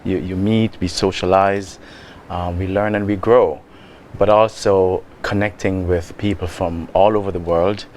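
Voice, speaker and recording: A man from Jamaica with a Jamaican accent, speaking in a soft, measured way with many ums as a guest in an interview. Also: sits low, fairly even intonation, slight background noise. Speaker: guest, lodge member. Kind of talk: casual interview.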